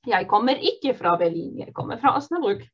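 A woman teaching an online beginner Norwegian course, cheerful and encouraging, modelling phrases slowly and clearly. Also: fairly high pitch, sing-song, muffled recording. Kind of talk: online beginner language lesson.

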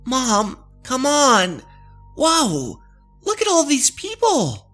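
Guy doing a little kid, boy around ten, super high excitable voice, horror story. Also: very slow, soft background music, heavily acted. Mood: eager.

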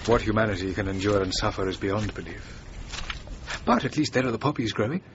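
An actor playing a whimsical, punning architect with a playful manner in a radio drama. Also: male voice, fairly low pitch, animated, fairly quick. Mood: wistful, whimsical. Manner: jokey, whimsical. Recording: muffled, noisy outdoor-scene radio recording.

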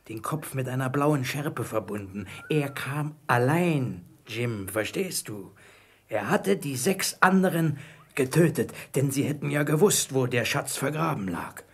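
An actor playing a marooned, repentant old pirate in a German radio play, wary and eager, with a husky, creaky voice and a theatrical, confiding manner. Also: male voice, on the high side, lively intonation, slow pace, exaggerated voice. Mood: brooding, intense.

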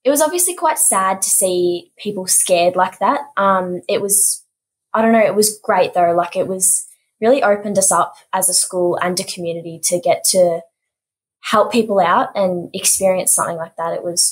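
A teenage student with an Australian accent chatting with many fillers on a video call from a school office. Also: female voice, medium pitch, normal speed. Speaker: student guest. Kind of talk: casual chat.

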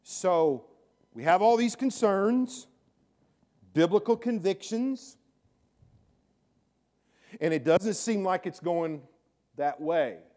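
A middle-aged pastor preaching in a large church sanctuary. His voice sits fairly high for a man. He talks to the congregation in a chatty way, then swells louder to drive a point home, often doubling his words. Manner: conversational preaching with sudden rises in volume and repeated words. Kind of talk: sermon.

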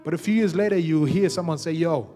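A young man with a high voice preaches on a church stage, speaking into a handheld microphone. He builds to fervent peaks and keeps returning to amen. Soft music plays faintly underneath. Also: fast. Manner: preaching with rising fervor, repeated amens, handheld mic. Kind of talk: sermon.

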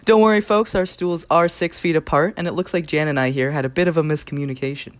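An actress playing a jokey co-host in scripted comic radio hosting. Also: female voice, low voice, quick pace, lightly acted.

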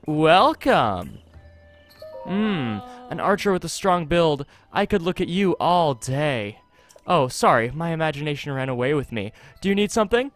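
A man's voice: fairly high pitch, very animated, unhurried, soft background music. Male reader playing a flirty female bow seller in a fantasy game, dreamy and lilting. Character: flirty, daydreaming female bow-shop keeper. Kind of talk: reading game dialogue aloud.

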